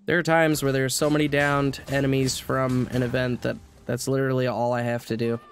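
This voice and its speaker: A man's voice: medium pitch, flat delivery, a little fast, a little hiss or hum. A man streaming a game, rambling casually to his viewers while he plays, sniffly with a cold. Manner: chatty, rambling, talks to chat. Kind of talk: casual gameplay commentary. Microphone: desk mic.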